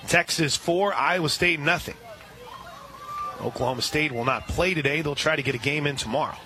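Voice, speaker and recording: A man doing live radio play-by-play of a softball game, relaxed and chatty, lifting his voice on big plays. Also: on the high side, moderate pace.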